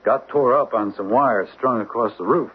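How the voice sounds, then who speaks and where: A man's voice: mid-range voice, normal speed, breathy edge, close to an everyday voice. A man playing an exasperated, indignant prison official reporting to his superior in an old-time radio drama. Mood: testy. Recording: tinny, faintly hissy old radio recording.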